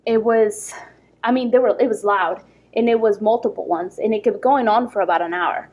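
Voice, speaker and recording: Husky woman telling a story. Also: medium pitch, normal speed. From Ecuador. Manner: lively, animated retelling with many fillers. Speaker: podcast guest. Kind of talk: casual storytelling.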